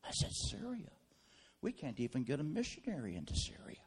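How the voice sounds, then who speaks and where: A man preaching a sermon in a church. He tells stories quietly, then leads loud, fervent prayer. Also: a little high, wildly swooping pitch, a little fast, husky. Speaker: guest preacher.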